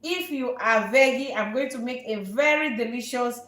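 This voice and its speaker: A woman chef from Nigeria, hosting a live cooking stream and chatting warmly with viewers. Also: on the high side, normal speed, roomy sound. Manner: warm, repetitive, answers viewer questions. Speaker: chef and channel host. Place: restaurant kitchen.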